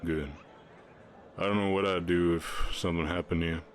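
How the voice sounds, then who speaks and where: A man playing a kind, protective, shy orc ranch hand with a Southern US accent, speaking gently and plainly in an intimate roleplay. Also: low voice, steady tone, normal speed, some chatter behind, natural voice. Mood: tender, hesitant. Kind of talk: intimate ASMR romance roleplay.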